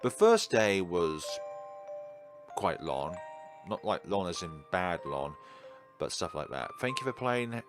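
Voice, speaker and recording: A man hosting a gaming video, reading a visual novel aloud and commenting casually. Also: low-pitched.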